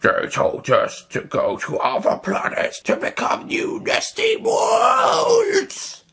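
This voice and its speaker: A man's voice: very high-pitched, huge pitch swings, gravelly, a bit bright, raised voice, pitched above the performer's own voice, exaggerated voice. A man voicing an agitated blob of liquid alien in an audiobook, strained and gurgly, drawing out the words. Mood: agitated, accusing. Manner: drawn-out, exclaiming. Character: blobby liquid alien creature, squelching, agitated. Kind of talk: audiobook character voice.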